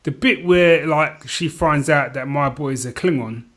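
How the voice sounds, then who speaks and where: A man with a fairly high voice talks casually as a podcast co-host. He retells things in an animated, slangy way, punctuating his chat with little checks that his listener follows. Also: measured pace. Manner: animated retelling, slangy, peppered with "you know what I mean". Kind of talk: casual chat about TV shows.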